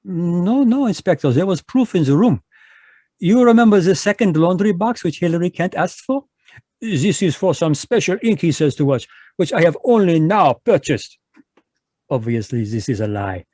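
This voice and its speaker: A man voicing a fussy, precise Belgian detective, speaking in a measured, lecturing way in a live online radio drama. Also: high voice, expressive, dark tone, no echo, clean studio sound. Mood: explaining.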